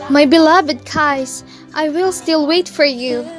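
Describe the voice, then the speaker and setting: Woman playing high-voiced sweet young maiden. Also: slow, faint music behind. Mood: devoted. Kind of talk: amateur radio drama acting.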